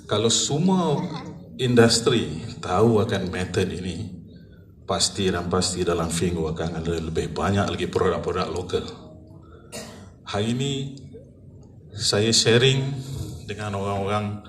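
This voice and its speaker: A man in his fifties with a Malaysian accent giving an informal persuasive talk and switching into English. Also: lowish voice. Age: 50s. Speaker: seller sharing a testimonial. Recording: echoey room, faint noise.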